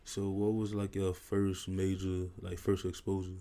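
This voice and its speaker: A man hosts a laid-back podcast interview from a university library. His voice sits low and very dark, with little rise and fall. He asks loose questions peppered with ums and likes. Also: measured pace. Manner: relaxed, filler-heavy questions. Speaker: podcast host. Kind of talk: casual interview.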